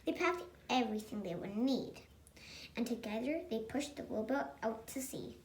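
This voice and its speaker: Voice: medium pitch, lively intonation, moderate pace, slight background noise. A child reading a picture book aloud expressively, with chatty asides. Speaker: storybook reader.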